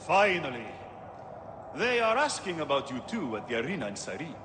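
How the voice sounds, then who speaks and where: An actor playing a scornful, showy gladiator arena master in a dramatic video game, with haughty, theatrical delivery. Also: male voice, a little high, very animated, a little fast, background noise. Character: gruff, scornful arena master and showman announcer.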